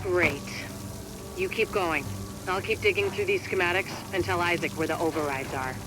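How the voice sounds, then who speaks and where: A woman playing a steady agent handler in a video game, guiding an operative in a businesslike, dryly encouraging way. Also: on the low side, moderate pace, music in the background, lightly acted. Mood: focused. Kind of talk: scripted game dialogue, radio guidance. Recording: noisy game ambience behind.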